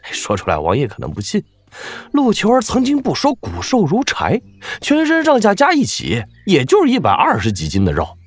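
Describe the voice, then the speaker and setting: An actor playing an obese, fawning general with a folksy, self-deprecating manner and a husky voice in a Chinese wuxia audio drama. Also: male voice, high voice, huge pitch swings, moderate pace, full character voice. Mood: folksy, eager. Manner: obsequious, chuckling, sighing, folksy.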